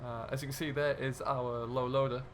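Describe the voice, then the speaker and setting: A man's voice: medium pitch, little pitch movement, fairly quick, background noise. A man giving casual, chatty commentary as host of a role-play gaming video, thinking aloud in an easygoing way.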